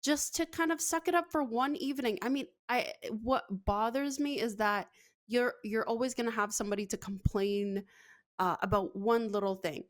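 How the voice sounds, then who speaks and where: Emphatic woman, slightly high voice. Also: normal speed, dry recording, studio quality. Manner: emphatic, opinionated. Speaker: technical producer and on-air commentator. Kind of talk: casual talk show opinion chat.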